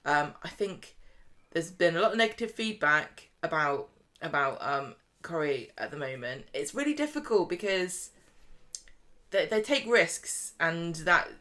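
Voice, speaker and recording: A woman's voice: deep voice, sing-song, unhurried, sharp tone. A woman co-hosting a casual podcast chat, talking in a chatty way with lots of restarts. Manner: chatty, laughs easily, many restarts. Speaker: podcast co-host.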